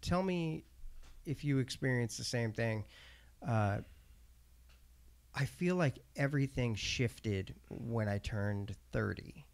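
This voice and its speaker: A man in his late thirties, hosting a casual podcast conversation, speaking reflectively and haltingly with many pauses to find his words. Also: mid-range voice, gravelly, clean tone. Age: 30s.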